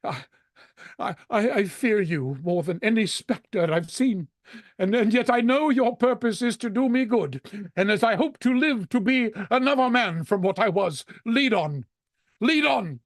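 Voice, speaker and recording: A repentant old man acted by a man in a radio play, with a high, animated voice. He stammers and pleads, fearful and earnest, in a dry close recording. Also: measured pace, no echo. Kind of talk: vintage radio drama acting.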